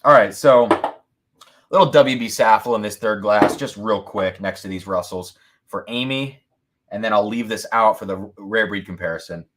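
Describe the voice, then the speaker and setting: A man hosting a whiskey-tasting livestream, talking loudly and excitedly, laughing often and reading viewer comments aloud into a boom mic. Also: moderate pace. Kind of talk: casual live-stream chat. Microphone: boom mic moved back from mouth.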